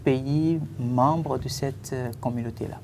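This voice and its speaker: A panelist on a TV political talk show, speaking hesitantly with many pauses and restarts. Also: medium pitch, steady tone, a little mellow, a little hiss or hum. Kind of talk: TV panel debate commentary.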